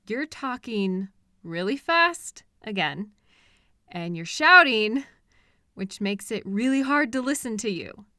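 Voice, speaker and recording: A woman playing an obsessive, possessive kidnapper in an intimate roleplay audio drama, coaxing and patient with a condescending edge and hesitant pauses. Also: fairly high pitch, animated, pushed and bright, dry recording, studio quality, heavily acted. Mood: patient, scolding. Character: obsessive, possessive female kidnapper, soothing and delusional.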